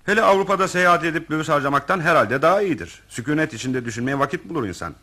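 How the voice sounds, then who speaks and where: An actor in a radio play voicing a callous, self-serving office director who talks in an officious, evasive, digressive way. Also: male voice, fairly high pitch, animated, rapid-fire, pushed and bright. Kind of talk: radio theatre acting.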